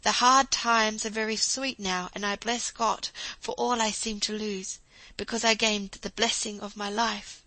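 A woman's voice: medium pitch, fairly even intonation, unhurried, no room sound, rough recording. Woman doing a genial old professor. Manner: warm, earnest, old-fashioned speech. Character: grey-haired genial older German professor, man.

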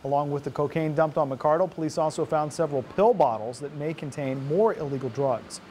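A man's voice: fairly high pitch, a little fast, darkish tone, a little hiss or hum. An American man in his thirties reading scripted news as a TV anchor. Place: TV news studio. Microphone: lapel mic.

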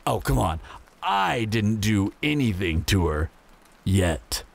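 A man's voice: fairly low pitch, very animated, slow pace, creaky, exaggerated voice. A man playing a menacing, smug apocalypse bandit in an audio roleplay, taunting and mock-friendly with a drawl.

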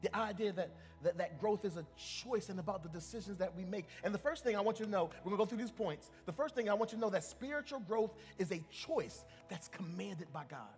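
A man's voice: sits high, animated, fairly quick, few pauses, big-room sound, faint music behind. A male pastor preaching a sermon in a church. He speaks emphatically, often restarting his sentences and calling out to the congregation. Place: church sanctuary.

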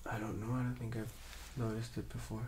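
A man in his thirties giving quiet, brief, relaxed answers as the patient in an ASMR exam role-play. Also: lowish voice, brisk, a little hiss or hum. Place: small indoor room set up as exam room.

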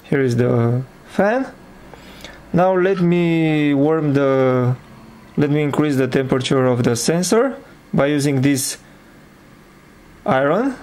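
Slow, halting man presenting a demo. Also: fairly high pitch, darkish tone. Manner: hesitant, halting phrasing. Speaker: presenter of an electronics project. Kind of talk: informal hands-on demonstration.

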